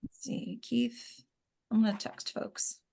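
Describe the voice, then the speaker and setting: A woman's voice: on the high side, flat delivery, slow, creaky, mellow, husky. A woman hosting a table read, chatting casually and trailing off as she checks who has joined. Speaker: organizer and host of an acting table read. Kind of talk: casual chat while waiting for participants.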